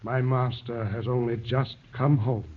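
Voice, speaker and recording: A man playing a mysterious, solemn messenger, speaking in a quiet, measured, formal way in a 1930s radio drama. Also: mid-range voice, steady tone, heavy background noise, lightly acted. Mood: solemn, courteous. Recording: tinny, narrow-band 1930s radio recording.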